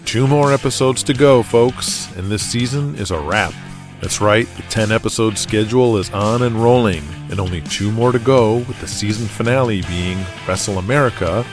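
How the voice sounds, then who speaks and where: A man's voice: on the low side, normal speed. A man hosting and narrating an audio drama in a scripted, dramatic style. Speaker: narrator and host. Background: music, with guitar.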